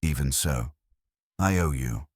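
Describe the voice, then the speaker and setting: Man voicing a composed woman client, low-pitched. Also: expressive, takes it slowly, no echo, clean studio sound, full character voice. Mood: earnest. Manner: clear, earnest. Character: shaken, vindicated woman client, composed and grateful.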